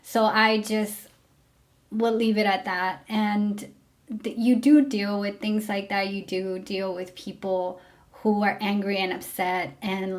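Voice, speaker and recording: Woman vlogger, young, candid, chatty, lots of fillers, mid-pitched voice. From Mexico. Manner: candid, frank, with many fillers. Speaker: former rideshare driver. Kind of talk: casual storytelling to camera.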